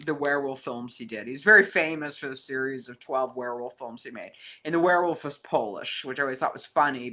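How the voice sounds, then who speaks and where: A woman's voice: low voice, bright, forceful tone. A woman co-hosting a film talk show, chatting casually and conversationally. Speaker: co-host fielding fan questions.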